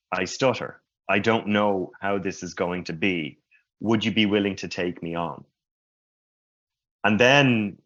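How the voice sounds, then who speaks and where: A man who stutters giving a slow, reflective personal talk at a conference. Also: on the low side, fairly bright tone. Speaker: conference speaker. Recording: muffled lo-fi sound.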